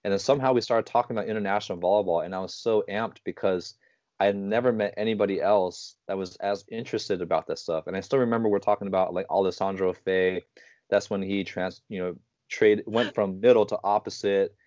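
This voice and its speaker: A man in his thirties hosting a casual interview, telling stories in quick, animated bursts. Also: deep voice, no room sound, dull audio. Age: 30s. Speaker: podcast host.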